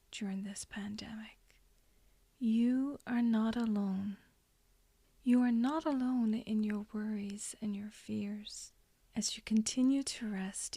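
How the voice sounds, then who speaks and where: Slow, whispery woman guiding meditation. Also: medium pitch, no room sound. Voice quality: soft, breathy, whispery. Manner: soothing, gently instructing. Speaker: hypnotherapist guiding a session. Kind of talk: guided hypnosis meditation.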